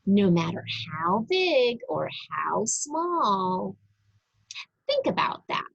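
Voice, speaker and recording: A woman reads a religious picture book aloud as if teaching young children. Her voice is notably breathy and husky, with a lively, swooping melody. She takes her time, very slowly and deliberately, addressing the listener directly. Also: mid-range voice, highs cut off. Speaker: storybook reader for a church.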